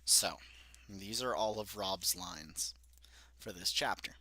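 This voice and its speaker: A man's voice: low-pitched, slow pace, sharp tone, breathy edge, no room sound. A young man reading aloud from a story he wrote as a child, laughing at it and commenting as he goes. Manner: self-deprecating, laughing at his old writing. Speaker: reader of his own childhood story. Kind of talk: solo read-aloud with commentary.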